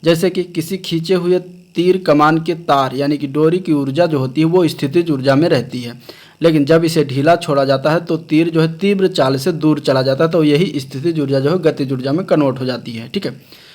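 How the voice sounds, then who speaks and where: A man's voice: on the high side, moderate pace, a little mellow. A man teaching science for exam preparation, reading from a textbook and explaining it in an instructional way with frequent check phrases. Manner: instructional, often adding a check phrase after points. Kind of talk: lecture read from a textbook with explanations.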